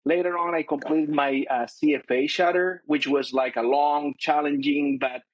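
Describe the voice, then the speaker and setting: A man from Chile with a Chilean Spanish accent, a guest telling his career story in a relaxed interview, with a lot of fillers and restarts. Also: fairly high pitch, moderate pace, no echo, highs cut off. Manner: thoughtful, many fillers and restarts. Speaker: guest, finance director. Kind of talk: casual interview chat.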